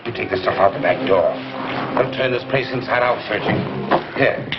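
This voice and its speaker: An actor playing a shifty, urgent gangster boss giving terse orders in 1950s television crime style. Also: male voice, mid-range voice, normal speed, over loud music, close to an everyday voice. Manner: terse, commanding. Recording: dull old television soundtrack.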